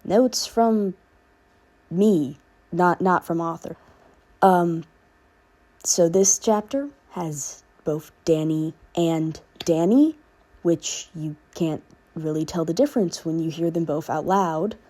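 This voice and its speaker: A woman's voice: fairly low pitch, takes it slowly, mellow. A woman reading fan fiction aloud as an amateur narrator. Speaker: podfic reader and narrator.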